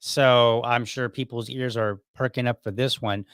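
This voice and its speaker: A male podcast host asks short, plain questions and nods along with quick interjections. His voice is dry and close with no room sound, sitting at a middle pitch. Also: steady tone, fairly bright tone, clean tone, no room sound. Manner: brief affirming interjections.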